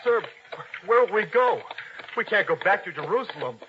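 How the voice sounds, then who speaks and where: Nervous loyal young servant, high voice, old 50s radio play, muffled and noisy. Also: male voice, expressive, moderate pace. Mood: worried. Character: anxious young male servant, loyal and deferential. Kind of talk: 1950s radio drama acting.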